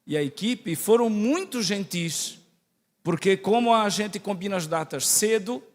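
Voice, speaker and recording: High-voiced Brazilian priest in an echoing hall. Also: male voice, expressive, measured pace. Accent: Santa Catarina Brazilian, close to Portuguese. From Santa Catarina, southern Brazil. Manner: didactic, asks the audience questions and checks in often. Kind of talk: conversational religious talk to a congregation. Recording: echoey hall with long reverb.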